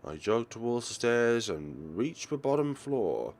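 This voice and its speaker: A man hosting a let's play, reading visual novel narration aloud in an expressive way. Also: medium pitch, measured pace.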